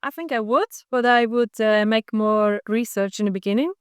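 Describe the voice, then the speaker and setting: A woman's voice: on the high side, normal speed, clean tone, no echo, clean studio sound. Chatty woman traveler. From Switzerland. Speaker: tour participant.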